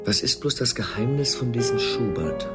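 A man's voice: medium pitch, animated, moderate pace, loud music behind the voice, close to an everyday voice. A man playing a charming, intellectual lover in a radio play, musing playfully in an articulate, intimate way.

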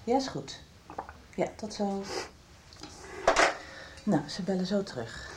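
Radio drama role: woman, calm organiser in a crisis, slow, short instructions, noisy recording. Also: mid-range voice. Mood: calm urgency. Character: brisk, calming woman arranging emergency admission by phone.

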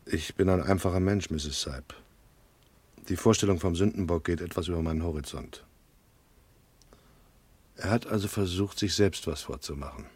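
Radio crime drama: plain-spoken, tough detective narrator, deep-voiced and dry. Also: male voice, moderate pace, pauses often, darkish tone, slightly rough. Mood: terse, guarded. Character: hard-boiled male private detective narrating his case.

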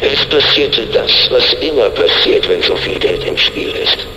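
An actor playing a mysterious, commanding masked informant in an audio drama, speaking in a deliberate, stern and formal way. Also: male voice, mid-range voice, lively intonation, natural voice. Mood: grave, explaining. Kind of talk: German audio drama acting. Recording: echoey reverberant space, some noise.

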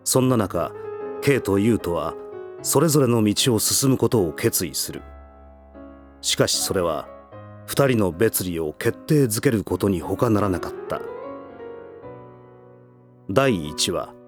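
A male actor narrating a voice drama in a measured, solemn way. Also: fairly low pitch, animated, faint music behind. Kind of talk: scripted narration.

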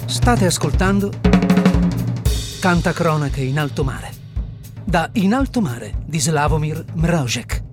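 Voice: mid-range voice, animated, takes it slowly, long pauses. An announcer reading scripted credits for a radio play. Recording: music under the voice. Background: music with drums.

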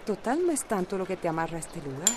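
An actress in a radio soap opera playing a practical, frank friend. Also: female voice, on the low side, sing-song, fast, noisy background. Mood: matter-of-fact. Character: practical, frank woman friend handling legal matters.

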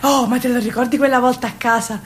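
Actress doing brash dreamy sicilian girl onstage, high voice, emotional, roomy echo. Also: fairly even intonation, quick pace, natural voice. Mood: tender, nostalgic. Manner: emotional, swinging between confiding and exclaiming. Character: Rosaria, brash, dreamy young Sicilian woman, poor and yearning. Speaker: stage actress. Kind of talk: theatrical monologue in Sicilian-inflected dialect. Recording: roomy sound, faint low rumble.

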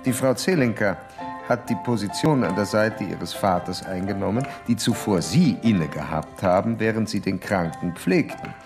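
A man's voice: fairly low pitch, animated, over music. A man playing a self-assured, pedantic psychoanalyst in a German radio drama, speaking formally and clinically. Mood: pedantic explaining. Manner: formal, measured, interrogating.

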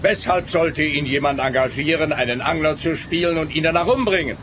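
An actor playing a jaded, condescending police officer in a radio play, pompous and long-suffering, with a Swiss accent. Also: male voice, a little high, fairly quick, natural voice. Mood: exasperated. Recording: noisy outdoor street ambience with traffic.

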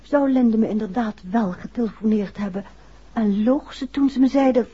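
An actress playing a detective's wife at home, talking in a domestic way in a 1960s radio drama. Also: female voice, a little high, moderate pace, warm tone, breathy edge. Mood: sleepless, pensive. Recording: muffled vintage radio recording.

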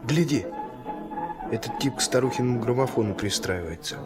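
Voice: mid-range voice, expressive, brisk, over music, natural voice. A performer playing an earnest, suspicious, argumentative young boy in a radio play. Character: suspicious, earnest boy, self-styled vigilant guard.

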